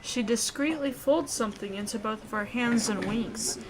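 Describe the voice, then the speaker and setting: A woman reading a visual novel aloud in an expressive way, with reactions as a gaming YouTuber. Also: fairly high pitch, normal speed, poor audio.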